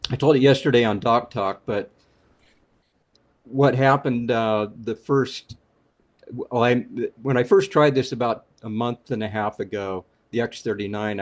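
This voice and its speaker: Halting man on a video call, low voice. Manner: halting, frequent restarts and fillers. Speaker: caller sharing a testimonial. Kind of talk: casual testimonial on a video call.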